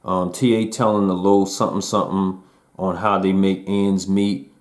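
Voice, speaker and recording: A man in his forties hosting a casual album review, talking to viewers in a relaxed, slangy way. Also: low voice, normal speed. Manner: laid-back slangy talk to viewers.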